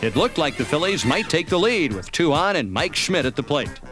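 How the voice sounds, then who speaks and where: Lively American sports narrator over music. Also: male voice, on the high side, moderate pace. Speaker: documentary narrator. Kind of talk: scripted sports recap narration.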